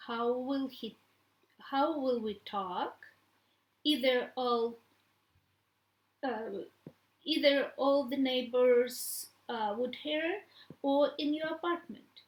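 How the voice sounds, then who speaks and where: A woman voicing a scornful, menacing wife in a story, halting and deliberate. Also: high voice. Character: scornful, menacing wronged wife confronting her rival. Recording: echoey room.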